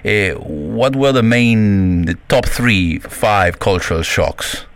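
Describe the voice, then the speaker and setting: A man's voice: lowish voice, expressive. A man hosting a podcast, interviewing a guest, often stopping and repeating words as he asks questions. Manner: halting, many repetitions and restarts. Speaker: podcast host.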